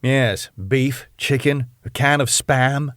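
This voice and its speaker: A man's voice: medium pitch, little pitch movement, takes it slowly, a bit bright, no room sound, studio quality. A man voicing a sarcastic, pompous middle-aged club owner.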